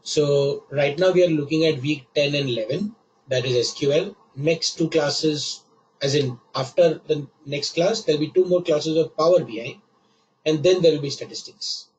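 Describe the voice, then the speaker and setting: A man teaching a live online class while he has a cold, patient and repeating each step slowly. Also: a little high, darkish tone, highs cut off. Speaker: online course instructor.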